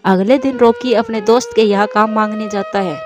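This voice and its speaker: A woman's voice: medium pitch, steady tone, a little fast, over music. A woman narrating a scripted children's story as a storyteller.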